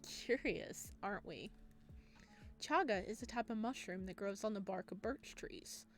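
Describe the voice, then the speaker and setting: A woman voicing a friendly, courteous man in a visual novel, an eager host with a gently teasing way. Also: medium pitch, a little slow, faint music behind, natural voice. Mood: teasing, informative. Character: friendly, teasing man hosting a guest in his cabin.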